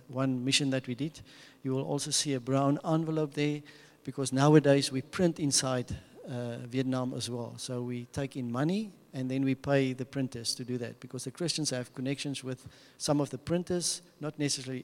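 A man with an Afrikaans accent giving an earnest mission talk to a church, restarting phrases and chuckling now and then. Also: medium pitch. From South Africa. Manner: earnest, repeats words and restarts, chuckles often. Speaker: mission speaker. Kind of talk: church mission presentation with slides. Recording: long hall reverb.

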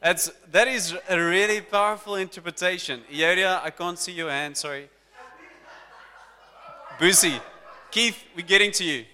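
A man preaching to his congregation as pastor, lively and talking directly to the people in front of him. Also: high voice, normal speed, long pauses. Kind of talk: sermon. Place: church hall. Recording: long church hall reverb.

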